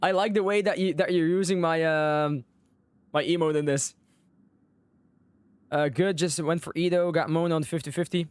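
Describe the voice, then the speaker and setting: A man's voice: on the high side, clean tone, no echo. A man live streaming a video game, chatting casually with viewers and commenting on the story. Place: close dry mic, game music behind.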